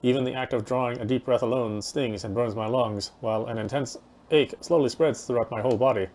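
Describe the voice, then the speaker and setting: A man's voice: medium pitch, fairly even intonation, brisk, darkish tone. A man reading a game's story aloud as dramatic narration, as a player would. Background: game music.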